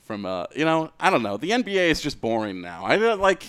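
A man's voice: a little high, very animated, fairly quick, a bit bright, clean tone, dry recording. A man co-hosting a podcast in casual sports chat, talking conversationally and swearing freely. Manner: profane, conversational. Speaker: podcast co-host.

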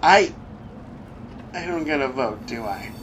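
A man's voice: fairly high pitch, lively intonation, a little slow, fairly bright tone. A man playing a sardonic cameraman sidekick who cracks jokes. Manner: jokey, sardonic. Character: joking male cameraman sidekick. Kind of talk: audio drama acting. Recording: slightly dull audio with faint noise.

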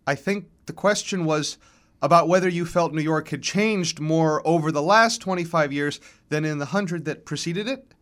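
A man plays a young woman moderating a panel in a short story. The voice is lifted in pitch, polite and hesitant, with a nervous manner. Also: normal speed, a bit bright, lightly acted. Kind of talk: dramatized short story reading.

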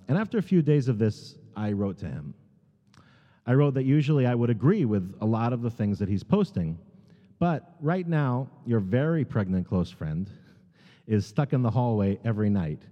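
Halting American man in an echoing sanctuary. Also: lowish voice, mellow. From United States. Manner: halting, many ums and restarts, earnest. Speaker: guest speaker at a synagogue. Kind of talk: sermon. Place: large synagogue sanctuary. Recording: long hall reverb, dull sound.

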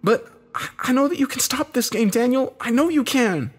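A desperate, embittered man from an audio thriller, acted out by a male narrator. He sounds agitated and urgent, swearing freely. The voice is raised high, swings widely and has a husky edge. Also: animated, moderate pace. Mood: pleading, urgent. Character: Josh, desperate bitter man, cornered game loser.

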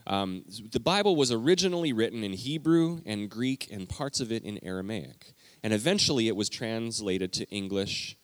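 Lively low-voiced man preaching. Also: normal speed, dry recording. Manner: lively, emphatic, talks directly to the congregation. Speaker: pastor. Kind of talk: conversational teaching sermon. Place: church sanctuary.

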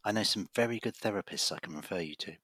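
A male family doctor, played by an actor, speaks with professional, measured earnestness. His voice is low and rough-edged yet bright and forceful, recorded dry for an audio drama. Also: dry recording. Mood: reassuring, concerned. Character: reassuring, persistent male family doctor with a secret.

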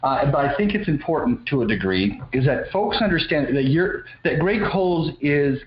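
A man's voice: fairly high pitch, expressive, moderate pace, dull audio. Rambling male interviewer, echoey room. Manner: rambling, affirming questions. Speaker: interview host. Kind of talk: conversational interview.

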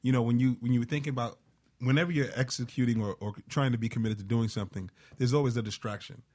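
A man's voice: mid-range voice, steady tone, fast, a little mellow. Reflective man in motivational chat. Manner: reflective, affirming listener. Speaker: co-host. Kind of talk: motivational talk-show chat.

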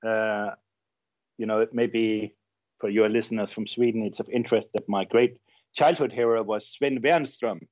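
A man's voice: on the low side, fairly even intonation, moderate pace, darkish tone, no room sound. Danish journalist man, dull dry sound. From Denmark. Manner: thoughtful, repeats words as he searches for phrasing. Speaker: journalist guest. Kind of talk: interview conversation.

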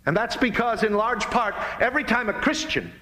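An American man preaching in a dramatic, deliberate oratorical style, with emphatic swells, long pauses and hushed phrase endings. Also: extremely high pitch, expressive. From United States. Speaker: pastor, guest speaker. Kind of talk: fiery sermon-like political speech to a live audience.

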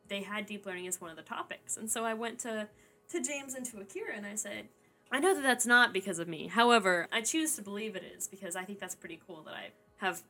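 Bright-voiced animated young woman. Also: mid-range voice. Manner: animated, laughs often, quick asides. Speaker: podcast co-host. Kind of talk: casual chat.

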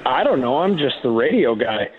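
A man's voice: mid-range voice, big pitch swings, moderate pace. A man talking sports as a radio play-by-play guest, conversational and wordy, with a folksy, self-deprecating way. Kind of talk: conversational sports interview commentary. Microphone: phone. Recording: muffled, band-limited phone line.

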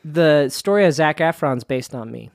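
A man's voice: fairly high pitch, lively intonation, normal speed, gravelly, no room sound, clean studio sound. A man in his late thirties from Salt Lake City, a wedding DJ chatting as a guest and telling playful stories. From Salt Lake City, Utah. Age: 30s. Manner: chatty, storytelling, playful, name-dropping. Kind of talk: casual chat.

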